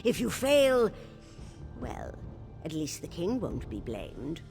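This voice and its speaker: An actress playing a shrewd, calculating older orc queen mother in a video game, measured and authoritative. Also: sits low, huge pitch swings, vocal fry, a little mellow, soft background music, full character voice. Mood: cool, commanding. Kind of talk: video game character acting.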